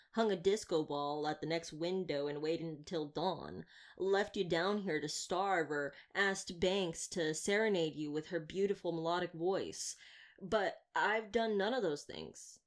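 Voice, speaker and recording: A woman playing a wry, talkative vampire hunter in an intimate roleplay audio drama, glib and teasing with a caring side. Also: fairly low pitch. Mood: coaxing, wry. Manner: glib, flirtatious, sardonic banter.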